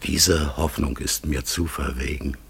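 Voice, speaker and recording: A melancholy raven in a radio drama, slow and solemn. The voice is low and badly husky, with gravelly creak, often sinking toward breath as it yearns for a lost world. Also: male voice, fairly even intonation, a bit bright, exaggerated voice. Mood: pensive. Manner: slow, solemn, halting, dropping into whispers. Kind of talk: German radio drama acting.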